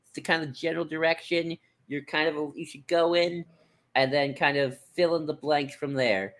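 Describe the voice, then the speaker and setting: A man's voice: fairly high pitch, steady tone, moderate pace, a bit bright. A man co-hosting a podcast, chatting casually in a loose, rambling way as he thinks aloud. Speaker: podcast co-host. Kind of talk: casual chat about books.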